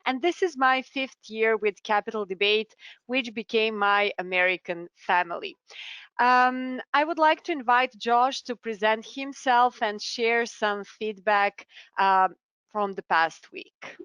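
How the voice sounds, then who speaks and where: A middle-aged woman from Serbia with a Serbian accent, formally presenting an award ceremony as a debate teacher in a courteous, deliberate way. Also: fairly high pitch, a bit bright, clean tone, no room sound, dull audio.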